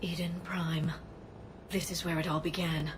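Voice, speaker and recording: A woman plays a composed female alien scholar in a sci-fi game. Her slightly low voice stays measured and earnest. Steady background noise sits under it. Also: natural voice.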